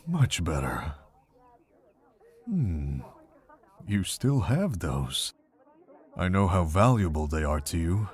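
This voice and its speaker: Man acting a deep, husky, gentle monk mentor. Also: heavily acted. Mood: confiding. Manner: measured, gentle, reflective storytelling. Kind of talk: fan visual novel voice acting.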